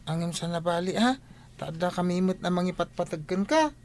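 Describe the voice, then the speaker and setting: An actress playing an affectionate, admiring young daughter in an audio drama. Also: female voice, lowish voice, lively intonation, a little fast, a little mellow, slight background noise, lightly acted. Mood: comforting. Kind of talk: Ilocano audio drama acting.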